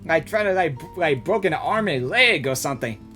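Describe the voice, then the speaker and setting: A woman giving casual live reaction commentary as a gaming streamer, halting and slangy, often repeating herself. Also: low-pitched, animated, fairly bright tone, faint music behind.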